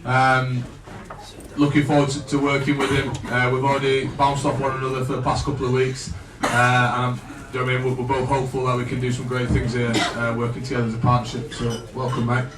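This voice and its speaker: A man, a coach at a fan evening, speaking haltingly, repeating words and laughing at himself. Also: medium pitch, completely flat delivery. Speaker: assistant coach. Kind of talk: casual speech at a fan evening. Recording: echoey room, far from the mic, noise behind.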